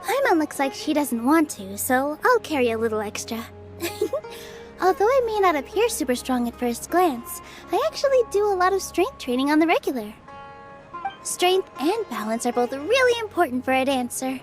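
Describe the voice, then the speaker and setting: A woman's voice: sits high, big pitch swings, normal speed. A woman voicing a sweet, polite, earnest young dancer in a video game. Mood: cheerful, earnest. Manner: polite, gentle, considerate. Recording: background music.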